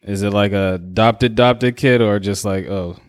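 A man's voice: fairly low pitch, normal speed, clean tone. An American man hosting a podcast in casual, slangy interview chat. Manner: informal, slangy, swearing. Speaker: podcast host.

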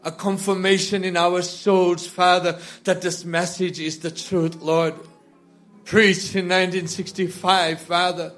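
A man's voice: high voice, level tone, unhurried, faint music behind. A man leading a congregation in fervent, spontaneous prayer, pleading in short repeated phrases that swell and subside. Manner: repetitive, pleading, short phrases punctuated with addresses to God; swells from hushed to loud and impassioned. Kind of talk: fervent extemporaneous church prayer.

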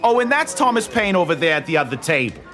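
An actor playing a genial elderly statesman who speaks in a lively, genteel way. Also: high voice, fast, faint music behind. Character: genial old statesman hosting friends at a tavern.

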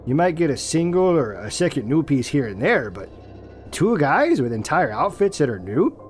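A man acts out a local Canadian Mountie in an audio drama, earnest and cautious. He stammers and hesitates as he explains, with a lively swing in pitch. Music plays clearly behind him. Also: moderate pace. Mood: earnest, insistent.

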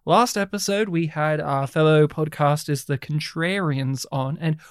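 A man's voice: a little high, unhurried, clean tone, no room sound, studio quality. An Australian man co-hosting a podcast, playful and joking in rambling casual chat. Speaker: podcast co-host.

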